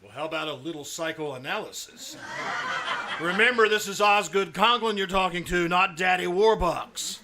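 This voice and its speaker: A man's voice: sits high, moderate pace, close to an everyday voice. A man playing a blustering, grasping older school principal in an old-time radio comedy, with a pompous, theatrical delivery. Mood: breezily pompous. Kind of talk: old-time radio comedy acting before a live audience. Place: small-town theater stage. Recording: live stage recording with noise.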